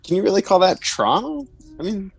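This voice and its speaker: A man's voice: sits high, sing-song, fairly quick, creaky, husky, heavily acted. Man acting as mocking inner voice, posing as a pal, probing, casual, judgmental, audio drama, music in the mix. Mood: teasing.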